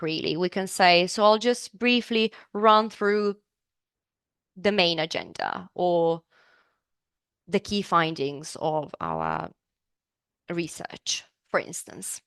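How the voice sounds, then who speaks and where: A woman's voice: mid-range voice, expressive, slow, smooth, no room sound. A woman from Serbia, teaching business English in a live online class, upbeat and chatty, asking the class questions.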